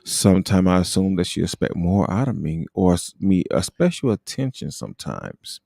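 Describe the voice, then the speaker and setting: A man's voice: deep voice, very animated, normal speed, vocal fry, warm tone, no room sound, studio quality. A man in his thirties co-hosting a casual livestream talk, joking and stumbling over words. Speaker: co-host.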